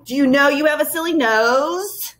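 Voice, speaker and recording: Woman playing jeering swamp monster, high and drawn-out. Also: a bit bright. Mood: spiteful mockery. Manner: taunting, drawn-out, emphatic jeers. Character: jeering swamp monsters, furry, spiteful tormenting mob.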